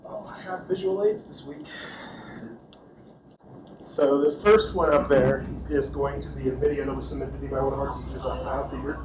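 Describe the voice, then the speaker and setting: A man's voice: mid-range voice, fairly even intonation, moderate pace. A man giving a casual, self-deprecating report at a school board meeting. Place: meeting room. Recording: echoey room, far mic, noisy.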